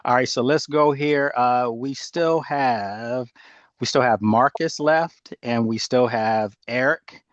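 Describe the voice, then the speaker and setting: A man in his fifties hosts a relaxed group talk over a video call. He stumbles over and repeats his words, laughs easily, and keeps a steady middle pitch. The sound is dry and close. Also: slow, dry recording, dull audio. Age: 50s. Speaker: host. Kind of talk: casual group chat.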